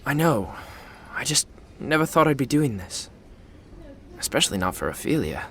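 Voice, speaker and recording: Stressed, sarcastic young prince, swooping delivery. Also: male voice, mid-range voice, sing-song, moderate pace, a bit bright, husky, full character voice. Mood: sheepish. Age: young adult. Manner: dry sarcasm, stammers when flustered. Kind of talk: modern audio drama acting.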